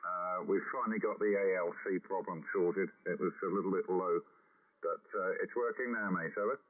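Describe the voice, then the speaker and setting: A man with a very deep voice on a ham radio contact, talking unhurried with many fillers through a headset mic. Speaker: ham radio operator calling in. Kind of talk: casual radio contact chat. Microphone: headset microphone. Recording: tinny radio audio.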